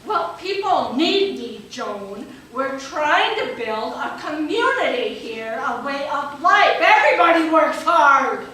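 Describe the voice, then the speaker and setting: A busy, testy prairie veterinarian acted by a woman with a high, forceful voice. The radio comedy delivery rings through a very echoey theater. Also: lively intonation, slow pace, few pauses, exaggerated voice. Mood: harried, defensive. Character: hardworking, testy prairie horse doctor woman. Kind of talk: stage radio comedy acting. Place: live radio theater stage. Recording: echoey theater, far mic, faint noise.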